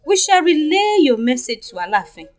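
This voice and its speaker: A woman's voice: high-pitched, sing-song, brisk, soft background music. A woman voicing a stern, officious male palace guard in a folktale, curt and commanding.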